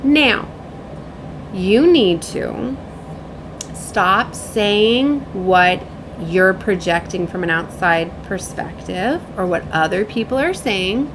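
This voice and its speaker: A woman's voice: medium pitch, lively intonation, takes it slowly, background noise. A woman in her late thirties giving a casual, rambling spiritual reading as a tarot reader, with an emphatic manner.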